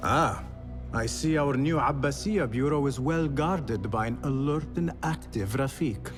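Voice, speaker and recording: An actor playing a calm, guarded young male assassin in a video game cutscene, speaking in a measured, earnest way. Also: mid-range voice, over music, lightly acted. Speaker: game protagonist.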